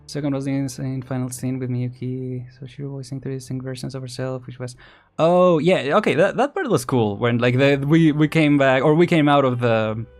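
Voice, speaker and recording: A man's voice: medium pitch, normal speed, soft background music. A man chatting casually as a livestream host, rambling and hesitant, often repeating words and restarting as he thinks aloud. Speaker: streamer, vtuber host.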